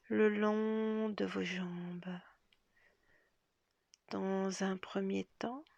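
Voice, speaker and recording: A woman guides a relaxation session in a soft, half-whispered voice with a very creaky edge. She speaks gently and very slowly, leaving long pauses between phrases. The recording is close and dry. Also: mid-range voice, no echo. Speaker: yoga nidra guide.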